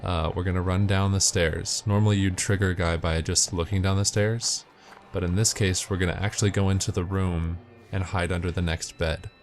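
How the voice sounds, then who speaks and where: A man's voice: sits low, fairly even intonation, normal speed, slight background noise. A man giving matter-of-fact, step-by-step instructional commentary as he narrates a video game walkthrough.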